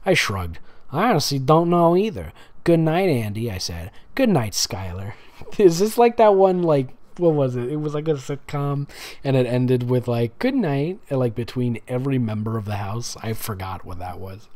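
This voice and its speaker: Man, amused sarcastic delivery, lively varied pitch, story reading mixed with casual commentary. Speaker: host reading fan fiction aloud with commentary. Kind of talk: dramatic reading with casual mocking asides.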